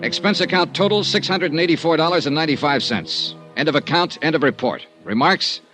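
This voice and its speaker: A man's voice: on the high side, a little fast, a bit bright, faint music behind, lightly acted. An actor playing a hard-boiled male insurance investigator in a 1950s radio drama, talking in a clipped, sardonic way. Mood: wry report.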